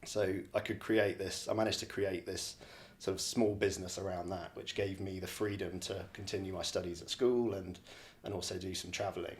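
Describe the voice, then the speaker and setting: A man's voice: sits low, fairly even intonation, normal speed. A man from the borders of Scotland giving a reflective, casual interview answer as a podcast guest, hesitant and often rephrasing himself. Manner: hesitant, repeats and rephrases phrases. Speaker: podcast guest, real estate director.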